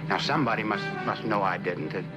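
An actor playing an uneducated old murder defendant, halting and pleading, in a television drama. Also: male voice, lowish voice, over loud music, natural voice. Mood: desperate pleading. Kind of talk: 1960s television drama acting.

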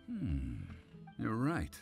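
An actor playing a calm, wise, scholarly man in a video game, speaking formally and thoughtfully. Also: extremely slow, vocal fry, full character voice. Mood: calm, reflective. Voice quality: deep. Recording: game music behind the voice.

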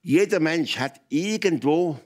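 An older man with a Swiss German accent, telling personal stories as a talk show guest in emphatic, halting phrases with repetitions. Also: fairly high pitch, lively intonation. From Switzerland. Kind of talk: candid personal storytelling in conversation. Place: TV talk show studio with audience.

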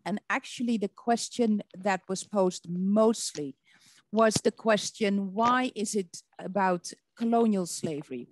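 A middle-aged woman with a creaky voice explaining thoughtfully and haltingly as a museum curator on a video-call panel. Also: fairly low pitch, a little mellow, no room sound. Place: indoor room on a video call. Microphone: computer video call.

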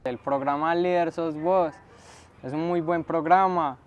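A man's voice: on the high side, fairly even intonation, a little slow, highs cut off. A young man with a Colombian accent giving unscripted interview testimony as a student leader, recorded outdoors. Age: young adult. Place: outdoors on school steps.

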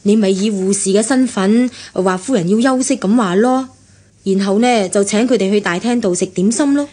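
A woman's voice: medium pitch, moderate pace, mellow, lightly acted. Chatty, knowing housemaid played by a woman. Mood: advising. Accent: Hong Kong Cantonese. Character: chatty knowing female household servant, gossipy and fussy. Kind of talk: radio drama acting.

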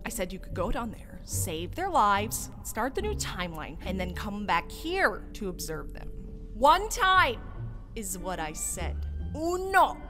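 A woman playing an exasperated, quirky, all-powerful judge in a comedic fan dub, scolding in an animated, colloquial way. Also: mid-range voice, unhurried, faint music behind.

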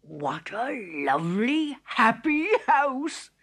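Lady doing little old storybook man, croaky n swoopy, slow, gentle and full of wonder. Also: fairly high pitch, sing-song, music in the background, pitched above the performer's own voice, heavily acted. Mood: wistful, contented.

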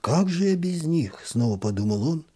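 Guy reading a story out loud, calm and expressive, warm kinda husky voice. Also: fairly high pitch, moderate pace. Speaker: audiobook narrator.

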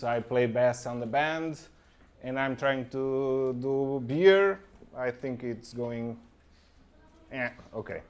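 A man's voice: mid-range voice, smooth. Portuguese-accented young man giving tech talk. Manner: halting non-native English with frequent ums and okays. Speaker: conference speaker. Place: conference room with projector, indoors. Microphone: handheld mic.

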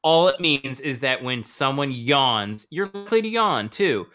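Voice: mid-range voice, lively intonation, measured pace, fairly bright tone, faint music behind. A podcast co-host reading absurd marketing copy aloud, with joking asides.

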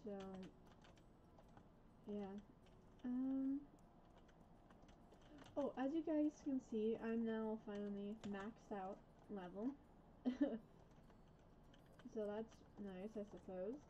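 A woman from the USA chatting casually while playing a game, rambling and correcting herself, with soft r sounds left over from a past speech difficulty. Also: a little high, drawn-out words, pauses often, lo-fi sound, slight background noise. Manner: rambling, self-correcting, hesitant. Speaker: gaming vlogger. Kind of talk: casual gameplay chat.

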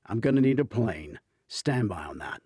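Husky, terse special-ops commander, voiced by a man. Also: on the low side, sing-song, normal speed, mellow, dry recording, clean studio sound. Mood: brisk orders. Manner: terse, authoritative, matter-of-fact. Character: brisk, commanding male special-ops team leader.

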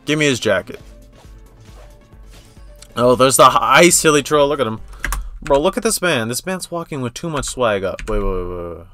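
Young male reaction host, lively and swooping pitch, slangy exclamatory gamer talk, faint music underneath. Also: normal speed, pauses often. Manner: slangy, exclamatory, repeats himself. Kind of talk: casual excitable gamer reaction commentary.

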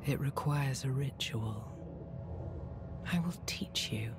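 An actress playing a commanding, menacing demon mother in a video game, with slow, breathy, solemn delivery. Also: extremely low pitch. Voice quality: breathy, half-whispered. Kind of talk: dramatic video game acting. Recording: game music behind.

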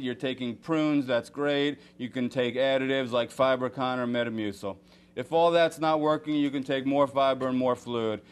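Smooth-voiced man giving calm medical advice. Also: mid-range voice. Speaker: guest doctor, surgeon. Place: TV studio set.

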